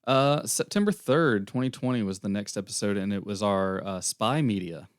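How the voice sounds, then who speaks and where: A young man co-hosting a casual podcast, slightly hoarse as he loses his voice, rambling through a recap with fillers. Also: lowish voice, animated, moderate pace, no room sound, clean studio sound. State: losing his voice, airy. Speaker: podcast co-host. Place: small podcast room.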